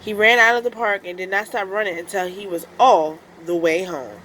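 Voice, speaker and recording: A woman storyteller narrating a children's story in an expressive, deliberate read-aloud style. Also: mid-range voice, a little hiss or hum, low-quality recording.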